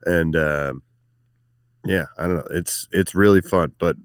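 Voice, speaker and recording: Deep-voiced man co-hosting sports talk. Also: steady tone, measured pace, smooth, no room sound, studio quality. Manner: easygoing, chatty, frequent fillers. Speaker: podcast co-host. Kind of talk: casual sports chat.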